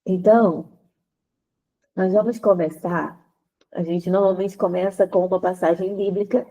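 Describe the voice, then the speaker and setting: A congested woman speaks with a stuffy, nasal voice, gravelly and dark in tone. She talks at an unhurried pace, gently and deliberately, as she leads a women's faith group. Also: fairly low pitch, rough recording. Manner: gentle, deliberate, repeats phrases while searching for words. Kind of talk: prayer then slow spoken teaching.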